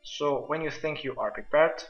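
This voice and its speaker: A man's voice: on the high side, normal speed. A man giving step-by-step instructional commentary for a video game walkthrough. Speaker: gamer presenting a quest guide. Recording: muffled lo-fi roomy mic, music behind.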